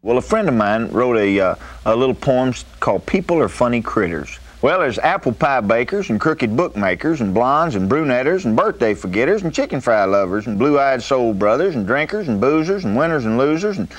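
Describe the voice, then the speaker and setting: Man reciting rhymes with lively pitch. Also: a little fast, hardly any pauses. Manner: rattles off rhyming lists. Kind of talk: rhyming poem recitation.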